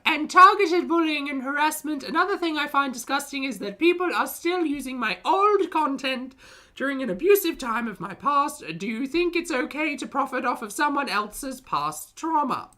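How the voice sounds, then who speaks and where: Woman putting on a high, theatrical, aggrieved woman. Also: expressive, normal speed, bright, forceful tone. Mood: accusing. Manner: declamatory, theatrical dramatic reading. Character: aggrieved, self-righteous woman vlogger, indignant and dramatic. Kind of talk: mock dramatic reading of a written statement.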